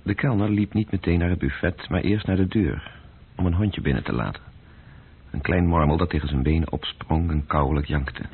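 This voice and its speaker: A man narrating a literary radio play in a measured, detached, sombre manner. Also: low voice, husky. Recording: thin, phone-like old radio recording.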